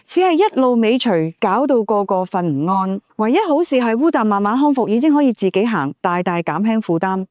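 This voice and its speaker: Animated high-pitched Cantonese female narrator. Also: dry recording, studio quality. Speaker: audiobook narrator. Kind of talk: scripted novel narration.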